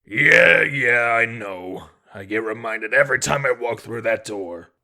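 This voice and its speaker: A man acts out an embittered police detective with a short fuse in a comic dub. His voice is sharp and very gravelly, swinging widely from low muttering to forceful ranting. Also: lively intonation, measured pace, exaggerated voice. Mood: bitter. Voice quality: gravelly, raspy. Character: hot-tempered, embittered male police detective.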